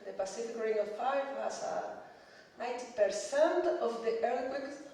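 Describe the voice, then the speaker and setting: A woman's voice: a little high, expressive, normal speed, mellow. A woman in her thirties with a Chilean Spanish accent, Spanish her first language, giving a conference talk in careful, hesitant English with many fillers and small laughs. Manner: hesitant, many fillers, nervous laughs, checks audience understands. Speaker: conference speaker. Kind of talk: conference presentation. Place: dark conference stage. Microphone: lectern mic from far off. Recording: distant echoey hall recording.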